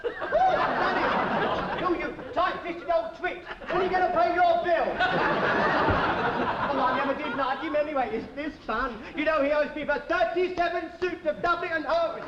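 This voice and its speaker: A man playing a fawning, excitable royal tailor in a period comedy, with a gushing, theatrical manner. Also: very high voice, moderate pace. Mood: indignant, gleeful. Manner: gushing, obsequious, effusive. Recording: dull, echoey, noisy recording with crowd.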